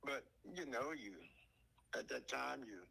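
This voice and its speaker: A man's voice: medium pitch, a little slow, creaky, sharp tone, breathy edge, low-quality recording. A man from North Carolina telling a personal story in a folksy, conversational way, acting out the dialogue. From North Carolina, USA. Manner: folksy, acts out quoted dialogue.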